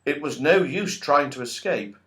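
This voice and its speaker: A man narrating a children's story from a script in an expressive, dramatic storytelling manner. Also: a little high, moderate pace. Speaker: audiobook narrator.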